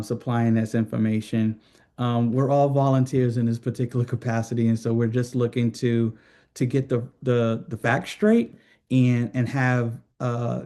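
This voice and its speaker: A man speaking as a committee chair, making formal meeting remarks in a halting, hesitant way. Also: mid-range voice, warm tone.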